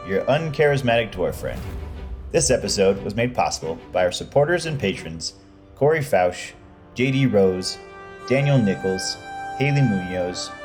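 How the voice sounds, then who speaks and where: An actor playing a friendly, bumbling dwarf warrior, addressing listeners in a folksy audio drama style. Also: male voice, lowish voice. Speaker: in-character announcer. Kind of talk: in-character audio drama announcements and recap.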